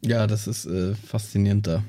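A man's voice: lowish voice, fairly even intonation, normal speed, dark tone, dry recording, clean studio sound. A man improvising as a player in a role-playing show, chatting colloquially and thinking aloud with some hesitation. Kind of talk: improvised casual chat.

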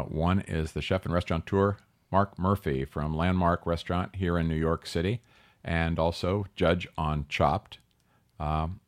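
A man with a low, deep voice hosts a talk show interview. He speaks in a relaxed, conversational way, often pausing with fillers and restarting sentences as he sets up his questions.

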